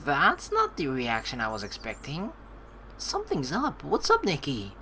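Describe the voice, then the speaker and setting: A woman doing a game playthrough, reading aloud and commenting with expressive, dramatic reactions. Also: sits low, normal speed, slight background noise. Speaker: let's play streamer. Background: game music.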